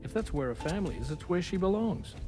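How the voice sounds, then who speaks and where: A measured male scientist, explaining his findings with a lively, rising and falling voice. He is somewhat high-pitched and stays calm and factual. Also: music in the background. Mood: matter-of-fact. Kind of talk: video game cutscene acting.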